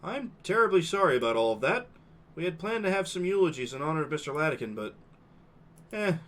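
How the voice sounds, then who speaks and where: A man voicing a flustered, stern older woman who is a school principal, with a fussy, high-pitched scolding manner. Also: sing-song, brisk, a bit bright. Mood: shaken, apologetic.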